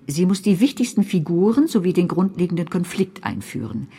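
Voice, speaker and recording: Low, dark, stern instructor. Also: female voice, lively intonation, fairly quick, slightly rough. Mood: stern, prescriptive. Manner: prescriptive, insistent, list-like. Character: stern female screenwriting instructor reciting rules.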